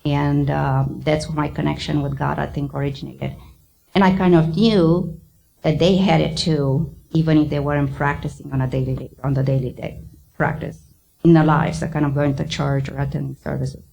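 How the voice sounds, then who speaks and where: A middle-aged woman with a Romanian accent giving a thoughtful public lecture, often pausing with ums. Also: sits low, little pitch movement, gravelly, warm tone, highs cut off. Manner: thoughtful, hesitant with many ums. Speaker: psychiatrist giving a talk.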